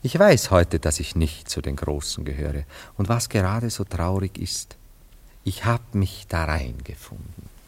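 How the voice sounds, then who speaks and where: Low-pitched male voice, nostalgic self-absorbed romantic, theatrical radio play delivery, musing and savoring words. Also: sing-song, a little slow, vocal fry, full character voice. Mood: regretful. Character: nostalgic, self-absorbed romantic man recalling past love affairs. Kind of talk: German radio play acting.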